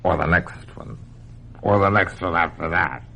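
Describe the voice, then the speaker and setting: Gravelly, boozy, cynical father. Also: low-pitched, unhurried, bright, forceful tone, slight background noise, full character voice. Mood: boozy, dismissive. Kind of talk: radio drama acting. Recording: muffled old radio drama recording.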